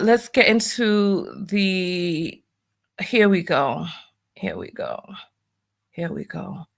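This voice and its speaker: Chatty New York woman livestream host. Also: medium pitch. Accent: New York, partly masked. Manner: chatty, wry asides, doing her makeup while talking. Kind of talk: casual livestream chat, reading viewer donations.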